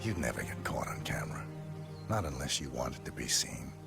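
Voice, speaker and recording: Game assassin: stoic grown man, bottom-of-the-range voice, clipped deadpan delivery, score behind. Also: rumbling low, moderate pace, vocal fry, heavily acted. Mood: grave, guarded. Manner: terse, deadpan. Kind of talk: video game cinematic acting. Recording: music behind the voice.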